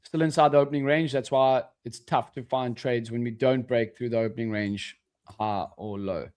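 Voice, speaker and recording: A man's voice: medium pitch, steady tone, normal speed, darkish tone, clean tone. Laid-back young man in his twenties. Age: 20s. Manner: laid-back, says "bro" and "dude", trails off mid-sentence. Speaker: co-host of a live trading stream. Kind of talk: casual live-stream chat.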